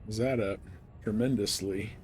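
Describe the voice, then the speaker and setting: A man's voice: lowish voice, steady tone, normal speed, a little mellow, faint music behind. A man live-streaming a game, giving casual commentary and advice in a rambling, informal way.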